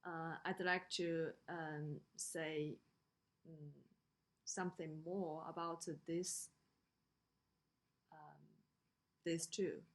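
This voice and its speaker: Female lecturer, Chinese accent, a little low in pitch, very unhurried and halting delivery, talking through slides. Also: little pitch movement. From China. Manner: halting, with frequent pauses and hesitations. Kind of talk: slide lecture on design usability.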